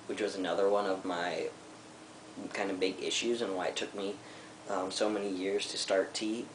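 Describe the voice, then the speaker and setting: Low-voiced young man, candid and hesitant. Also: roomy sound, a little hiss or hum, rough recording. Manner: candid, reflective, hesitant with many ums. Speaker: vlogger. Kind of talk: personal storytelling to camera.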